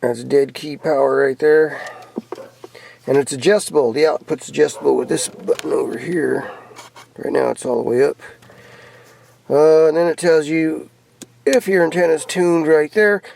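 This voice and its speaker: A man's voice: a little high, steady tone, normal speed, creaky, long pauses, darkish tone. An American man giving a casual, rambling hobbyist show-and-tell in a plainspoken, folksy, laid-back way. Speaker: hobbyist vlogger showing off CB radio gear. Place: in or around a pickup truck. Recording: noisy handheld camera recording with clicks.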